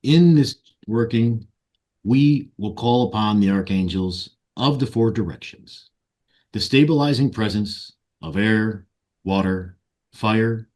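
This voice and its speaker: Male meditation guide, lowish voice, very slow delivery, solemn ritual tone with measured phrasing. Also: a little mellow. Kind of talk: guided meditation, ceremonial invocation.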